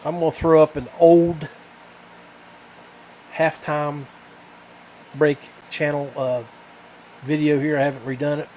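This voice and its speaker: Halting higher-voiced man podcasting over noise. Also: flat delivery, a little mellow, poor audio. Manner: halting, rambling, repeats words. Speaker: podcast host. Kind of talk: casual solo podcast chat. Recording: clear background noise.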